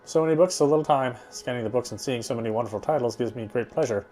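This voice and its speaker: A man's voice: medium pitch, quick pace, darkish tone, soft background music. A man reading visual novel narration aloud, sometimes stumbling and going back over phrases. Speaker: game streamer reading aloud.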